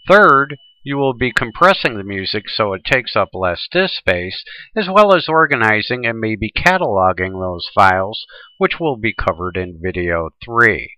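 A man's voice: lowish voice, expressive, a little slow, sharp tone, no echo, rough recording. A man presenting a tutorial in scripted, instructional narration.

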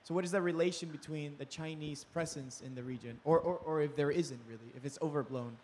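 High-pitched young man asking from the audience. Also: normal speed, darkish tone. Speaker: audience member asking a question. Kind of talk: spontaneous question to a panel. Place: audience in indoor hall. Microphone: handheld microphone. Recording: faint room noise.

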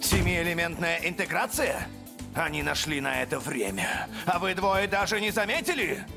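A man's voice: fairly high pitch, big pitch swings, moderate pace, gravelly, music in the background. A man playing an exacting, demanding villain commander in a cartoon dub, with a clipped, questioning manner. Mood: displeased. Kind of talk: animated cartoon dub acting.

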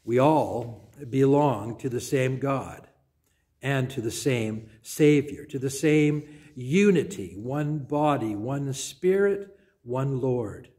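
Older man, pastor, unhurried sermon delivery, soft trailing phrase endings, small church, close camera mic. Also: mid-range voice. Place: small church sanctuary, indoors. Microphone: phone or camera at close range.

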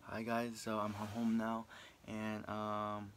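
A man's voice: on the low side, monotone, takes it slowly, fairly bright tone, slight background noise. A young man chatting informally and in a rambling way to a handheld camera for a daily vlog. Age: young adult. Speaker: vlogger. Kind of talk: casual daily vlog chat. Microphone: phone or camera at arm's length.

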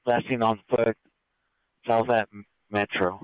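A man's voice: fairly low pitch, fairly even intonation, takes it slowly, clear, resonant tone, dry recording, lo-fi sound. A man passing on clipped, procedural updates over police scanner radio.

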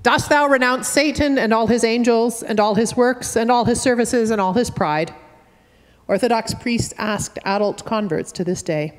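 Canadian woman minister, middle-aged, slightly high voice, measured earnest sermon, long church reverb. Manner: measured, earnest preaching with gentle humor. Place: wood-panelled church sanctuary, at a pulpit. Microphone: fixed church camera at a distance.

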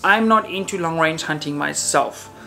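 A man in his thirties talking casually to camera as a channel host, in a conversational way with hesitant, self-qualifying pauses. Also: fairly high pitch, vocal fry, sharp tone, faint music behind. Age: 30s. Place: indoor room, talking to camera.

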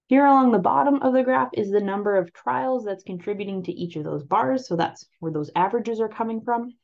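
A woman with a Canadian accent presenting on-farm crop trial results over a slide presentation, explaining them clearly and steadily. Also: medium pitch. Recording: slightly muffled voice-over.

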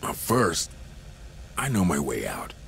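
An actor playing a hardened, cynical security captain in a video game, gruff, gravelly and sardonic. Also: male voice, extremely low pitch, huge pitch swings, slow pace, a bit bright, slight background noise, full character voice. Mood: sardonic calm. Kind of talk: cinematic game acting.

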